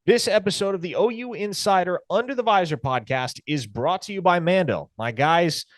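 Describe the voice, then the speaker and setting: A man's voice: high voice, expressive, normal speed, no room sound. A man co-hosting a sports podcast, speaking in a dry, wry and articulate way, also reading ads. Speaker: podcast co-host. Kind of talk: casual sports podcast chat and host-read ad.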